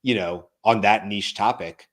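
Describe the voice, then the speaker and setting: Man, lowish voice, hoarse, rambling casual co-host, lots of fillers, live talk show. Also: unhurried. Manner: relaxed, rambling, frequent fillers. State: hoarse, losing his voice.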